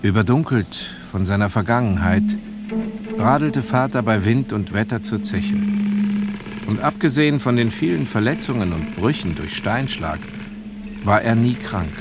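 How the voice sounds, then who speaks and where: A son narrating a radio play, lowish voice, sober literary reading with careful pauses, music behind. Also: male voice. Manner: measured, deliberate pauses. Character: reflective grown son narrating memories of his father.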